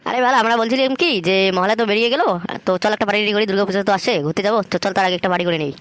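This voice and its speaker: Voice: mid-range voice, very fast, a bit bright, no echo, close to an everyday voice. A performer with a Bengali accent voicing foul-mouthed, teasing young friends in slangy comic cartoon banter. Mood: rowdy.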